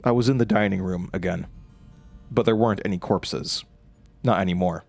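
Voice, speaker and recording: A man playing a haunted, frightened protagonist, narrating a horror audio drama in a halting, uneasy, stammering way. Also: sits low, vocal fry, darkish tone, faint music behind.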